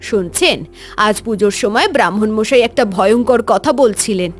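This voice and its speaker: A woman playing a scheming, cold stepmother in an animated horror tale, calculating and insinuating. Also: medium pitch, normal speed, soft background music, natural voice. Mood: falsely concerned. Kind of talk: Bengali animated story voice acting.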